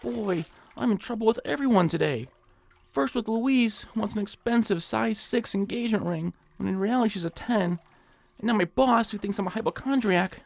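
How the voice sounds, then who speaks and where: An actor playing a nervous, hapless hypochondriac in an audio drama, fretful and stammering. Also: male voice, high-pitched, normal speed, gravelly, no room sound. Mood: self-pitying, whiny. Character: nervous, whiny nebbish hypochondriac man, eager and hapless. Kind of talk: audio drama acting in old-time radio suspense style.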